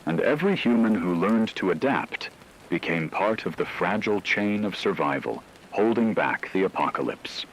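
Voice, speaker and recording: Male narrator for apocalyptic drama: deep register, grave measured read, light noise bed behind. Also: animated, low-quality recording.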